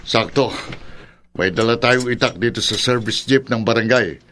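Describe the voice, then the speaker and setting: Village watch leader played by a man, mid-pitched, commanding fellow guards in radio horror, noisy backdrop. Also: moderate pace, lightly acted. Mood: wary, resolute. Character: resolute village watchman leader preparing to fight vampire. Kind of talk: radio drama acting.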